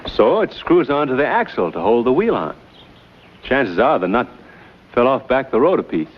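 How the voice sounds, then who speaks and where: An actor playing an easygoing, helpful American frontiersman in a period TV drama, talking in a relaxed drawl with hesitant uhs. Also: mid-range voice, normal speed, lo-fi sound. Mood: explaining.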